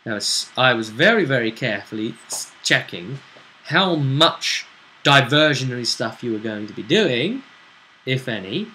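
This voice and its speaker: A man chatting casually as game master, recapping a tabletop role-play session in a halting, storytelling way with pauses. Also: medium pitch, very animated, bright, forceful tone, poor audio.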